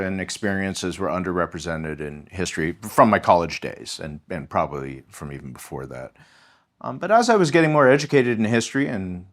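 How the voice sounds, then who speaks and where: A man, a history professor, speaking as a podcast guest in a thoughtful, measured way with frequent ums and small restarts. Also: on the low side, fairly bright tone. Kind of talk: conversational podcast interview.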